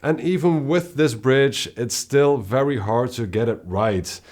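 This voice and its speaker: A man with a deep voice, a guitarist and channel host, explaining his guitar setup in a steady, casual way with occasional filler sounds. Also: unhurried. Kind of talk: casual gear talk to camera.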